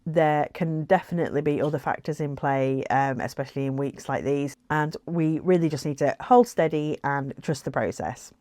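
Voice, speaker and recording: A woman talks to her camera about her weight-loss progress, chatty and reflective, with plenty of ums and little laughs. Her voice sits low for a woman. The sound is close and dry, with no room ring. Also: normal speed, few pauses, dark tone, no room sound. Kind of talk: casual talking to camera.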